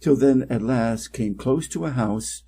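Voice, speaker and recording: A man's voice: mid-range voice, unhurried, gravelly, warm tone, a little hiss or hum. A man from Wisconsin with an American accent, reading a fairy tale carefully and expressively for English learners. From Wisconsin, United States. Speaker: storyteller, English reading teacher.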